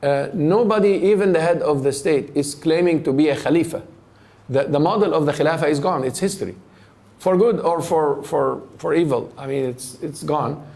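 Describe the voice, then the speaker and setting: A man's voice: a little high, moderate pace, a little mellow. A man giving a public lecture and taking questions, thoughtful, often restarting his phrases. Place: lecture hall.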